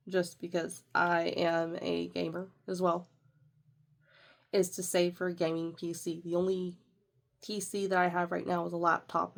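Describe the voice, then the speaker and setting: A woman's voice: lowish voice, vocal fry. Congested nasal woman chatting about budgets. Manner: chatty, rambling with fillers and self-corrections. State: congested, nasal from a sinus infection. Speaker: budgeting vlogger. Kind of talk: casual walkthrough of a budget planner.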